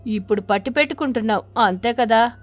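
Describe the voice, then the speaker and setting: A woman voicing a vengeful female ghost sparrow in an animated children's tale, threatening and accusing as she interrogates. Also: a little high, brisk, natural voice. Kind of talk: animated children's story character voice.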